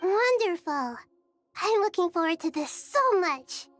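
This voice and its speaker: A woman voicing a gentle, thoughtful, childlike girl in a video game, serene and wise, with measured phrasing. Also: very high voice, big pitch swings, faint music behind, exaggerated voice. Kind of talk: video game character acting.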